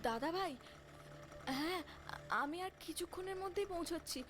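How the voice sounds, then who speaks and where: Woman acting a high-voiced, mysterious young passenger. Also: moderate pace, fairly bright tone, a little hiss or hum. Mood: calm, insistent. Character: mysterious, insistent young woman hailing a night ride.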